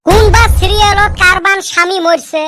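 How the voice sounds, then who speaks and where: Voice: very high voice, fairly even intonation, brisk, sharp tone, a little hiss or hum. A performer voicing a mischievous, bickering village boy in a comedy cartoon, speaking in the Rajbongshi dialect of northern Bengal. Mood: grumbling. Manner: colloquial, bantering, complaining.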